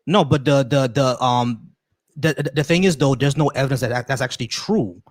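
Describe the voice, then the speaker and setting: Fast-talking argumentative man, dry sound. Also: mid-range voice, dry recording. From United States. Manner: rapid, argumentative, repeats phrases. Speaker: host. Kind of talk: casual debate on a call-in stream.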